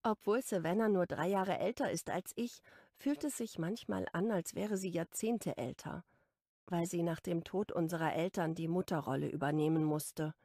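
A woman narrating a romance audiobook in the first person with calm, even storytelling. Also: lowish voice, moderate pace, dry recording. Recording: dry close studio recording.

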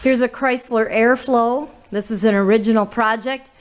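A woman, hosting a casual auction walkthrough in an old barn full of cars, listing items with little "uh" pauses. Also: fairly high pitch, steady tone. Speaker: auctioneer host giving a car walkthrough. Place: inside old barns and sheds full of cars.

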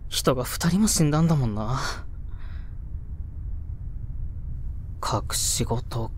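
A man's voice: mid-range voice, expressive, normal speed, a little hiss or hum, close to an everyday voice. A man playing a nervous, deferential young junior sound engineer in a voice drama, hesitant and polite. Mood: subdued. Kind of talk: Japanese voice drama acting.

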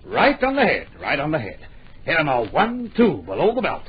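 An actor playing an absent-minded, excitable publisher in an old radio drama, muttering, hemming and repeating his phrases. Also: a little high, a little fast. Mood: excited, emphatic. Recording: muffled, noisy old radio recording.

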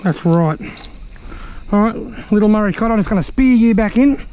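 An Australian man giving chatty, excitable commentary while fishing on a riverbank, filmed on an action camera and talking to the fish he catches. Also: high-pitched, moderate pace, a little hiss or hum. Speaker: fishing vlogger. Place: outdoors on a riverbank.

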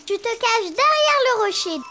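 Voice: very high voice, animated, normal speed. A performer voicing a tiny, playful, bossy little girl ladybird in a preschool cartoon, childlike and dramatic. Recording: music behind the voice.

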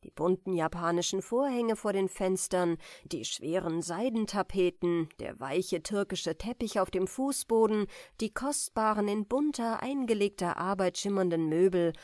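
A woman softly and deliberately narrating a fairy tale as a bedtime audiobook. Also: medium pitch, no echo, clean studio sound. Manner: soft, deliberate, expressive. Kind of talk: gentle bedtime story reading.

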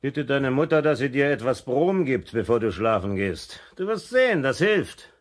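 An actor playing a suspicious, patronising middle-aged uncle in a radio play, commanding and condescending. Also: male voice, mid-range voice, lively intonation, moderate pace, close to an everyday voice. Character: suspicious, shady uncle, patronising adult man. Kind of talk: 1970s German radio play acting.

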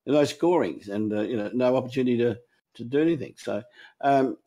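A man's voice: mid-range voice, fairly quick, dark tone. An Australian man talking sport in a casual chat, emphatic, with frequent restarts. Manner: emphatic, restarts often, chuckles while talking.

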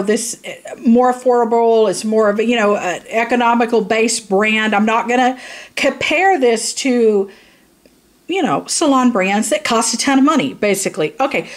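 A tired woman giving a blunt, casual product review, rambling and repeating herself for emphasis. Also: fairly high pitch. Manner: blunt, informal.

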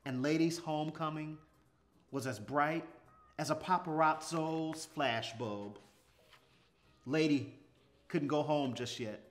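Slow-paced man reading a storybook. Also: a little high. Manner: measured, dramatic phrasing with pauses. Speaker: storybook reader. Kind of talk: expressive children's storybook narration. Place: small furnished indoor room, seated. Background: music.

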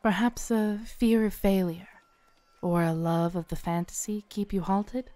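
A woman playing a kindly, knowing tarot reader at a Renaissance faire, speaking softly, smoothly and soothingly to the listener in an audio roleplay. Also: mid-range voice, no room sound, close to an everyday voice. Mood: gently advising. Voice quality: smooth, soft. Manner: unhurried, soothing, theatrical, with dramatic pauses. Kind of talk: soft-spoken audio roleplay addressed to the listener. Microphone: close studio mic, dry.

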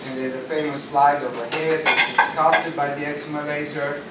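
A man's voice: a little high, flat delivery, moderate pace, heavy background noise. A middle-aged man with a Romanian accent giving an informal lecture to colleagues, rambling with many fillers and self-corrections. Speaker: eye surgeon giving a lecture. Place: hotel conference room, indoors. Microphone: far camera. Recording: roomy, distant, loud dinner clatter. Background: cutlery and dishes clattering.

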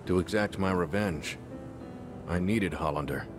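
A deep, unhurried weary man seeking redemption in a video game drama. He is grave and reflective, gently resolute, weighing each phrase with care. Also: music in the background. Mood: grave, regretful. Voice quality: low, measured. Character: weary, remorseful former director turned into a clone, gently resolute.

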